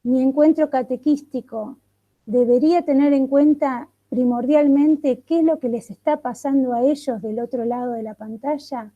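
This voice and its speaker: High-voiced, very bright woman. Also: fairly even intonation, highs cut off. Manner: poses many questions, thoughtful pauses. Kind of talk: reflective online workshop talk.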